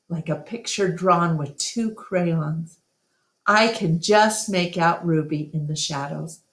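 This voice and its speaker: Slow-paced woman narrating in an echoey room. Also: lowish voice. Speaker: storyteller reading aloud to children. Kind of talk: read-aloud storybook narration.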